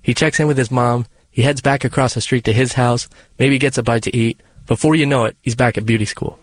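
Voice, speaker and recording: Man narrating a radio feature. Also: medium pitch. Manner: measured, even storytelling delivery.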